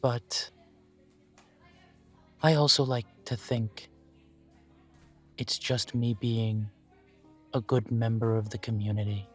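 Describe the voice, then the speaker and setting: A man voicing a polite male elf who owns a coffee shop, interviewing the listener for a job in a calm, formal way. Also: mid-range voice, slow pace, pauses often, soft background music. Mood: courteous. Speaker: shop manager interviewing an applicant. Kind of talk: audio roleplay, talking to the listener.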